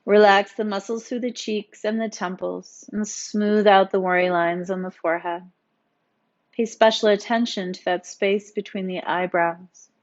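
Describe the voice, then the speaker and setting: Female yoga teacher for guided relaxation: soothing, gently instructive, mid-pitched, on slightly muffled lo-fi audio. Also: fairly even intonation, unhurried. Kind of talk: guided meditation narration.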